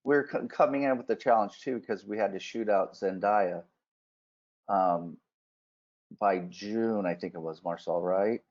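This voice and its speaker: A man's voice: on the low side, measured pace, a little mellow. A plain-spoken man talking in short casual phrases as a film crew guest on a panel. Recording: muffled lo-fi online call.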